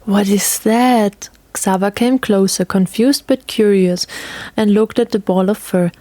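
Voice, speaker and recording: A woman's voice: medium pitch, moderate pace, dark tone, dry recording. A woman narrating a scripted fantasy story as a storyteller.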